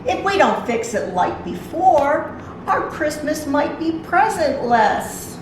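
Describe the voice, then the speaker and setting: A woman acts out an earnest little storybook boy, full of dismay. The voice is pushed up high and plaintive. It sounds distant, in a very echoey room. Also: big pitch swings, slow pace, noisy background, full character voice. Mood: dismayed, worried.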